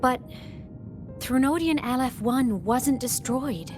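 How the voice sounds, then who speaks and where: An actress playing a reflective heroine in a video game, with a musing, questioning delivery. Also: female voice, high-pitched, expressive, slow. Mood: pensive. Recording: loud music behind the voice.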